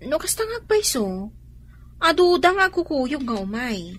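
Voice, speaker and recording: A woman's voice: high voice, very animated, normal speed, a bit bright. A woman playing a cautious, doubtful shop co-worker with an Ilocano Filipino accent in a radio drama, full of questions.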